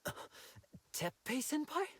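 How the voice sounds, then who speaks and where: A woman voicing an earnest young female archery recruit in a video game, expressive and self-critical. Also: a little slow, lightly acted. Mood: flustered, eager.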